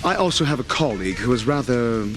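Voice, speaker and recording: Animated, confident bookish man acted by a man. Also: medium pitch, fast talker, close to an everyday voice. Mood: taunting. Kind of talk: video game character acting. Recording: game audio with music behind.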